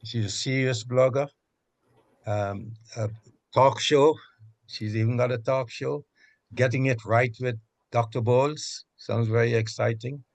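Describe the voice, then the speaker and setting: A man chairing a political meeting, speaking off the cuff in a halting, measured way. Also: mid-range voice, steady tone. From Guyana. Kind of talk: extemporaneous political meeting remarks.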